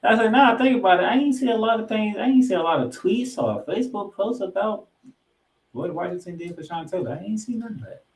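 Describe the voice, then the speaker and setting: A man's voice: high voice, lively intonation, a little fast, low-quality recording. A man co-hosting a sports podcast, giving football recaps with emphatic, announcer-like delivery of scores and stats mixed with casual banter.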